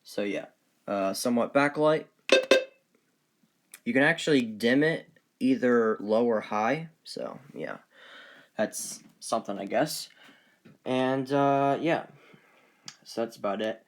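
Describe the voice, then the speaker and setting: Man giving a halting show-and-tell. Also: medium pitch, lively intonation. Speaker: collector presenting weather radios. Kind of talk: casual unscripted show-and-tell.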